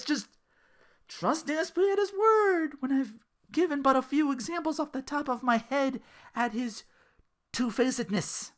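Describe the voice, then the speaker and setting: A podcast host giving casual, exasperated and sarcastic commentary in an animated way, swearing freely. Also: sits high. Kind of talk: casual ranting commentary about an internet personality.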